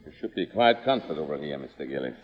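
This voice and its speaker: A man playing a grave, formal, protective manservant in a mid-century radio drama, speaking with measured deference. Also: rumbling low, animated, slightly rough, soft background music, exaggerated voice. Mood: deferential. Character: solemn, formal, protective manservant to a faded star. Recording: dull vintage radio drama recording.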